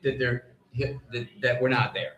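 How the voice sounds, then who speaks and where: A man of medium pitch talks through sports analysis in an echoey live room. He sounds like a reporter on a panel, measured and careful, often halting to rephrase. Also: steady tone, fairly bright tone. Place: live stage at a fan convention.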